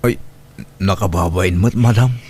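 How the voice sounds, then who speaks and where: A man's voice: sits low, a little slow, a little mellow, husky. A man with an Ilocano Filipino accent playing a humble, distressed young father left alone with a baby, in audio drama style, hesitant and pleading. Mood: humble, troubled. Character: distressed young single father, humble, abandoned by his partner.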